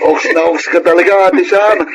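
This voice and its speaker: A man's voice: sits high, steady tone, normal speed, dull audio, very noisy, natural voice. A man playing an easygoing, evasive young brother in a Filipino radio drama, with a Filipino accent. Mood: easygoing, eager.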